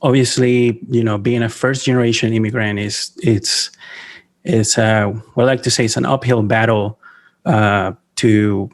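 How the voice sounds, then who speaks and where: Venezuelan-born man, halting podcast guest. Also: on the low side, fairly even intonation. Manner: halting, many fillers and restarts, laughs often. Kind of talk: casual interview talk.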